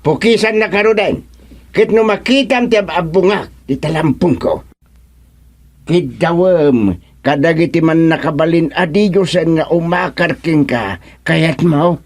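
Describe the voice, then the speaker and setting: A doubtful, fussy monarch in a comic radio play. He has a high voice and a Filipino accent, blustering and hesitating as he exclaims. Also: a little slow. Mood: wary, blustering. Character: fussy, doubtful comic king with threatening temper. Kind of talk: Filipino radio drama comedy acting.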